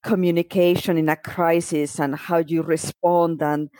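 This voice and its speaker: Low-pitched woman from Spain, chatting casually. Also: flat delivery, measured pace, dark tone, no room sound. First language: Spanish. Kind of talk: casual chat.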